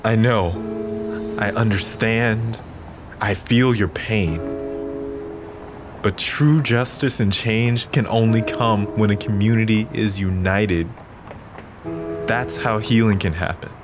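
Actor as deep-voiced, earnest peacemaker. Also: male voice, over music. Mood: earnest, resolute. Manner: measured, sympathetic, sincere. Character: earnest, calm young man urging unity and healing. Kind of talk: audio drama acting.

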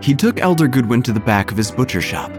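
A man's voice: mid-range voice, very animated, quick pace, music in the background. A man narrating scripted comic horror in a wry, theatrical manner.

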